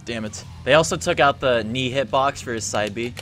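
A young man hosting a gaming video, teasing his friend with loud, laughing trash talk as they play. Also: medium pitch, moderate pace. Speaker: gaming channel host. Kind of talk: casual gameplay banter. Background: game music.

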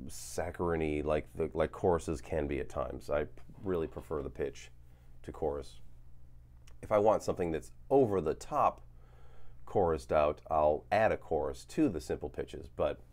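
A man in his forties, a guitar channel host, talking casually through a gear demo in a relaxed, rambling way with lots of fillers and a lingering cough. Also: extremely low pitch. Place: home studio with guitars on wall.

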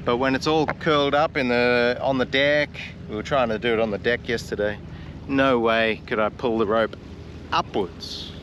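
A man's voice: medium pitch, fairly even intonation, moderate pace, fairly bright tone, muffled recording. A man in his forties chatting casually to an arm's-length action camera aboard a sailboat, thinking aloud as he goes. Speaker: sailing vlogger. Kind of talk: casual vlog chat. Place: on a sailboat, on deck and in the cabin. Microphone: action camera held at arm's length. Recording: outdoor camera with boat and wind noise.

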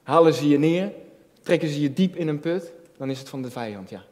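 A man's voice: a little high, animated, a little fast, a little mellow. A man in his early thirties preaching a personal, reflective sermon, earnest, repeating phrases for emphasis and asking rhetorical questions. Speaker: junior pastor. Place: indoor church stage at a lectern, filmed from a distance. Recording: long hall reverb.

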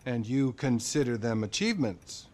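Game cutscene therapist, middle-aged male actor: detached, smug, mid-pitched with lively intonation. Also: lightly acted. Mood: probing. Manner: measured, blandly probing. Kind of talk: naturalistic video game cutscene acting.

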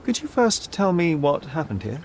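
An actor playing a self-assured young detective in a video game, articulate, polite but pointed and persuasive. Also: male voice, fairly high pitch, big pitch swings, normal speed, slight background noise. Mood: polite, composed. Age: young adult. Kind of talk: video game cutscene acting.

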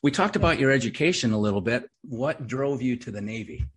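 Husky-voiced enthusiastic male podcast host. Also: medium pitch, expressive. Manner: enthusiastic, chatty, quick to agree. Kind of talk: casual conversational interview.

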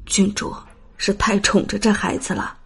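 A woman's voice: medium pitch, flat delivery, moderate pace, gravelly, a bit bright, husky, soft background music, full character voice. A woman voicing a kindly, cautious old nursemaid who soothes and defers in an audiobook drama.

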